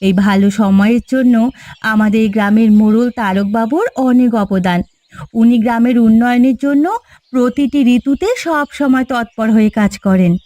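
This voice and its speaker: High-voiced good-hearted farmer's wife played by a woman. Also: normal speed, mellow, no echo, natural voice. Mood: contented, hopeful. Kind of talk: Bengali fairy-tale cartoon dialogue.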